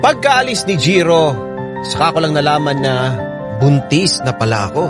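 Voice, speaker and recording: Filipino guy reading a letter on radio, dramatic, very up n down, loud music under him. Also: fairly high pitch, big pitch swings, normal speed. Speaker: narrator reading a listener's letter. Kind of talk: dramatic scripted radio narration.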